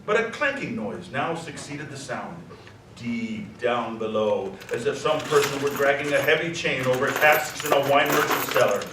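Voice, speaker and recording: A man's voice: mid-range voice, animated, normal speed. A man narrating a story for a live radio drama in a theatrical, scripted storytelling style. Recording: echoey room, distant mic, noticeable noise.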